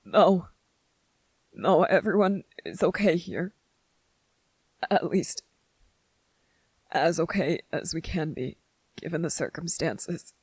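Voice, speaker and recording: A gentle young soldier, as a performer acts him out speaks very slowly in a soft-edged, husky voice. He is vulnerable and devoted, halting between phrases in an intimate, dry-sounding ASMR roleplay. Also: medium pitch, dry recording, low-quality recording. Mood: gently reassuring. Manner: tender, hesitant, halting, earnest.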